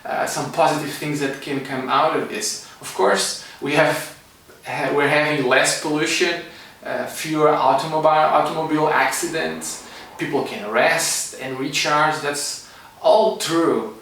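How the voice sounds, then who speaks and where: A middle-aged man from Portugal with a Portuguese accent, giving an informal online class in halting, non-native English as an energy medicine teacher. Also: on the high side, fairly even intonation, a bit bright, a little hiss or hum. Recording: echoey room, distant microphone.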